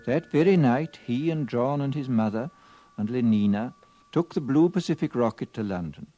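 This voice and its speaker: Man as solemn radio narrator from the 50s, mellow mid voice, dry, muffled old recording. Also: mid-range voice, lively intonation, moderate pace, close to an everyday voice. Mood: measured. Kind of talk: scripted 1950s radio drama narration. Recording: dull vintage radio recording.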